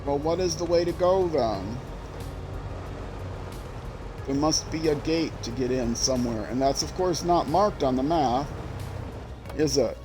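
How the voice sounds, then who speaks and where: A man's voice: fairly high pitch, measured pace, long pauses, music in the background. An older man from Minnesota with a Minnesota accent, rambling and self-deprecating as he streams a driving game. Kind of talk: casual gameplay commentary.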